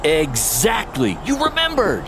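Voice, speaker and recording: A man playing a sincere, excitable wrestler friend giving encouragement in a video game. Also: sits high, unhurried, loud music behind the voice, heavily acted. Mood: encouraging. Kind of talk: video game story acting. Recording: noisy backstage room ambience.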